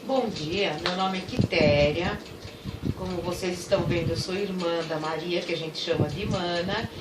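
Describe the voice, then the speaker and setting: Older woman, fond storyteller recalling her childhood; distant, echoey capture with loud noise. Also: fairly low pitch, normal speed. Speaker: interviewee.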